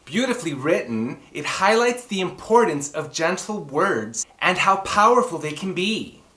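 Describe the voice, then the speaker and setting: A man's voice: high-pitched, big pitch swings, a little slow, pushed and bright. A man presenting and reading children's books as a story-time host, with a theatrical, exclamatory manner that speaks straight to young listeners. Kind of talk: animated children's book presenting and read-aloud. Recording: roomy echoing room sound.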